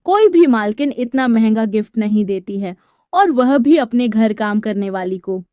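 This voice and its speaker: A woman's voice: on the high side, expressive, measured pace, mellow, smooth, no room sound, studio quality. A woman voicing a suspicious working-class husband in a dramatised story, pressing and questioning. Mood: doubting. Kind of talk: dramatised Hindi moral storytelling.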